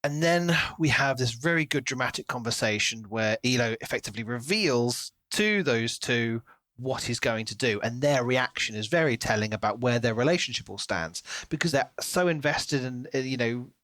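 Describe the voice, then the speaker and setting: A man's voice: medium pitch, expressive, normal speed, a bit bright, no room sound. A man co-hosting a podcast, talking casually through a book and acting out reactions as he retells it. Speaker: podcast co-host.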